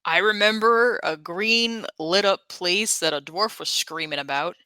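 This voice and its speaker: A woman's voice: on the low side, unhurried, sharp tone, dry recording. A woman chatting casually as a player in a tabletop roleplaying game.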